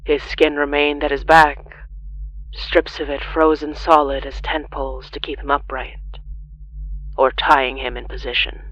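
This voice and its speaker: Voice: medium pitch, level tone, fairly bright tone. Solemn horror narrator with a muffled sound. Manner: solemn, deliberate, with dramatic pauses. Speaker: narrator of a horror fiction podcast. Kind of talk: scripted dramatic horror narration.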